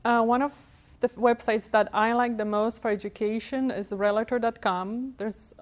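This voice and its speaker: A woman's voice: on the high side, fairly even intonation, normal speed. A woman in her thirties from Poland, with a Polish accent, answering interview questions as a real estate professional. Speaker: guest, real estate broker. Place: TV studio.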